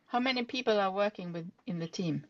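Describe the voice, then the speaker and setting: A woman hosting a casual live audio interview, asking brisk, short prompting questions. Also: mid-range voice, muffled recording. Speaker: interviewer host.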